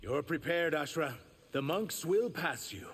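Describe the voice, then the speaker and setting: A man's voice: on the high side, animated, measured pace, very noisy. A man voicing an earnest young shapeshifting reptilian warrior in human form for a video game. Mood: earnest, guarded. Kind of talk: video game character dialogue.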